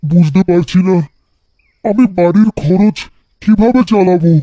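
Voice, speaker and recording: Man acting a mellow, weary poor bangle seller. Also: high voice, measured pace, dry recording, muffled recording. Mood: worried. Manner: plaintive, sighing. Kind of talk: animated folktale voice acting.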